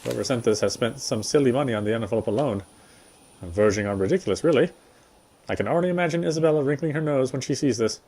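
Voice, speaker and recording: A man with a medium-pitched voice reads out the narration of a story game. He goes through it in a measured storytelling manner, like a player sharing the text with viewers. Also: darkish tone, husky. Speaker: gameplay streamer reading aloud. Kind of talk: reading story narration aloud.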